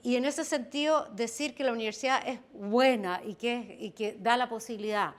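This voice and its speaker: A woman's voice: on the high side, moderate pace. A woman with a Chilean accent and a strong voice, speaking on a discussion panel and carefully qualifying her points. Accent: Chilean Spanish. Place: event hall.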